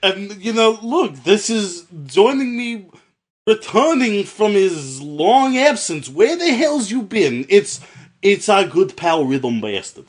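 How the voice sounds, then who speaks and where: A man's voice: sits high, sing-song, slow pace, heavily acted. A man voicing a brash, rambling podcast host, with an exaggerated New Jersey accent that slides into Russian and mangled grammar. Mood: boisterous, welcoming. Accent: exaggerated New Jersey sliding into Russian. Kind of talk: comedic in-character podcast hosting.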